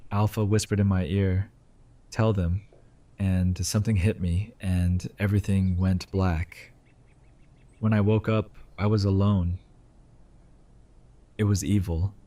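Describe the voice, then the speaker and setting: Grieving, resolute survivor played by an actor addressing his community; low, very slow, solemn audio drama delivery. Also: warm tone. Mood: haunted.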